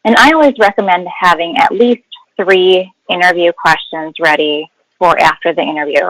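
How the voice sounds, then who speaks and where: A woman's voice: fairly low pitch, moderate pace, a bit bright, no room sound, rough recording. A woman speaking as a guest HR expert, explaining in an instructive webinar style with emphasis on key words.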